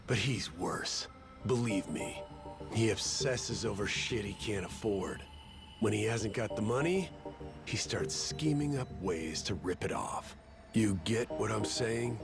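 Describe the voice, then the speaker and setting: Hard-bitten pirate crew member, low gravelly voice, grave and plain-spoken, game scene. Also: male voice. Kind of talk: dramatic video game cutscene acting. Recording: game music behind the voice.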